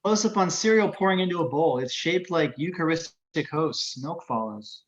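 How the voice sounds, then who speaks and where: A man's voice: on the high side, lively intonation, normal speed, a bit bright, dull audio. A man reading out the stage directions of a film script as scripted narration.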